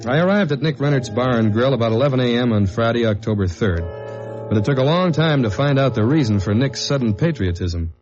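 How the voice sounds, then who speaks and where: An actor playing a dry, steady undercover investigator with an American accent, in the style of 1950s radio detective drama. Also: male voice, medium pitch, fairly quick, close to an everyday voice. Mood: dry narration. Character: undercover investigator man narrating his case, dry and steady. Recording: muffled old radio recording with music.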